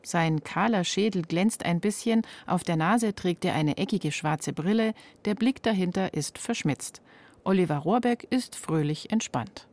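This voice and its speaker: A woman's voice: lowish voice, measured pace, a little mellow. A woman reporter delivering scripted narration for a feature.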